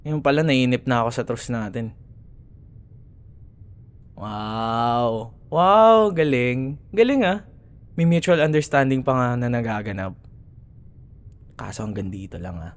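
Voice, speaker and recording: A man's voice: mid-range voice, animated, unhurried, long pauses, natural voice. A man with a Filipino accent playing a grumbling, teasing young school rival who secretly cares, in a sarcastic, casual ASMR boyfriend roleplay. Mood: sarcastic, bickering. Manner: sarcastic, casual bickering with sighs and murmured asides.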